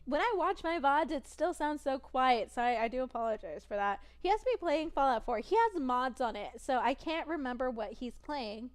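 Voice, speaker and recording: A young woman streaming and chatting casually, rambling and giggling, with a naturally soft voice that is tired from long streaming. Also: high voice, animated, moderate pace, few pauses. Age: young adult.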